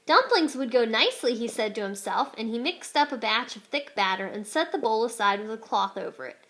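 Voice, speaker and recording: A woman's voice: fairly high pitch, expressive, a little fast, a bit bright. A woman reading a children's storybook aloud expressively, like a teacher.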